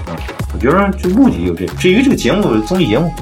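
A man's voice: fairly high pitch, wildly swooping pitch, racing, a little mellow. A man hosting a casual music podcast, talking through his opinions in an informal discussion.

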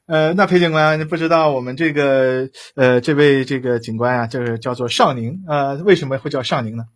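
A man hosting a live broadcast chat, halting and repetitive, with many fillers. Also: mid-range voice. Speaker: host.

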